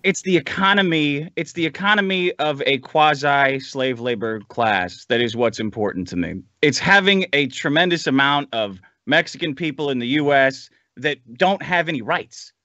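A man's voice: a little high, lively intonation, normal speed, hardly any pauses, bright, forceful tone, dry recording, dull audio. A man speaking as a podcast panelist in a casual political debate, opinionated and casually profane.

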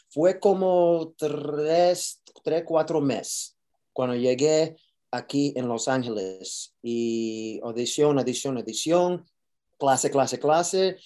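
A man's voice: mid-range voice, warm tone. A man, an actor, answering interview questions casually, mixing Spanish and English with many fillers and restarts.

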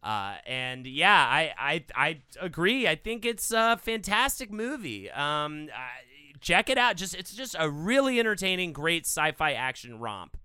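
Smooth, bright-voiced podcast co-host man. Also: on the high side, sing-song, a little slow, dry recording. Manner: upbeat, reads quotes and facts aloud. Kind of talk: casual film podcast chat.

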